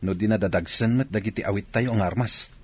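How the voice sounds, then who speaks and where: A man acting an earnest, brooding Filipino soldier in a radio drama, with a husky voice. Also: lowish voice, a little fast, slight background noise, lightly acted. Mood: earnest, commanding.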